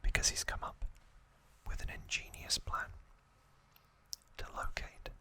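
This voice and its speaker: Slow whispering man, ASMR style. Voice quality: breathy unvoiced whisper. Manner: hushed, unhurried, short phrases with pauses. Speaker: ASMR host. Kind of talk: whispered ASMR trivia recap of an anime story.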